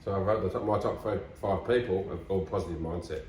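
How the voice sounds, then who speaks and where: A man's voice: low-pitched, fast talker, darkish tone, slight background noise. A man in his fifties with an Australian accent, co-hosting a motivational podcast in an upbeat, encouraging way. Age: 50s. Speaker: podcast co-host. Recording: roomy, distant mic.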